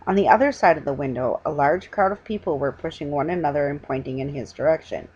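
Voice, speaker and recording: Woman reading a kids story out loud, kinda low voice, bit halting, muffled lo-fi home recording. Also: breathy edge. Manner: halting reading with stumbles and self-corrections. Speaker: reader. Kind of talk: storybook read-aloud. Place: small living room at home, indoors. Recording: dull lo-fi webcam sound.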